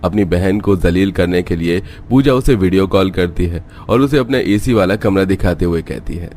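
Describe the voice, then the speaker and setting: A man's voice: low-pitched, normal speed, a little mellow, slight background noise. A man reading scripted narration for a cartoon story. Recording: music playing behind the voice. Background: background music.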